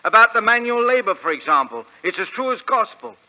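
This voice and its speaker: A man's voice: high-pitched, big pitch swings, brisk. A man playing a fussy, easily astonished middle-aged British working-class tradesman in a 1940s radio drama. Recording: dull, lo-fi old radio recording.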